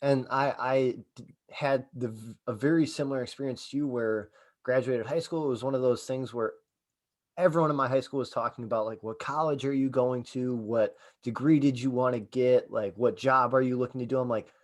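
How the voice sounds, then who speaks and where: A male podcast host with a voice in the middle pitch range. He talks in a loose, casual way with his guest and fills his speech with "like". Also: a little fast. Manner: relaxed, says like a lot. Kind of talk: casual interview chat.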